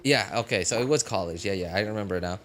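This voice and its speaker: A man in his thirties chatting casually as he streams a game, halting and repeating himself as he reminisces. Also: low voice, fairly bright tone. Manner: halting, reminiscing, many hesitant fillers and repeats. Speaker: livestreamer. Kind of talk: casual rambling chat while streaming a game. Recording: game music playing behind the voice. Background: video game music and character dialogue.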